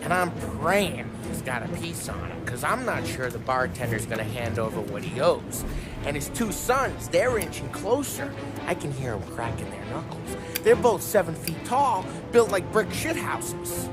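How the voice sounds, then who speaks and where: Rowdy, animated gangster storyteller. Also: male voice, fairly high pitch, moderate pace, loud music behind the voice. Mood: amused, boisterous. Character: rowdy male gangster spinning a bar yarn. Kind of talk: video game cutscene acting.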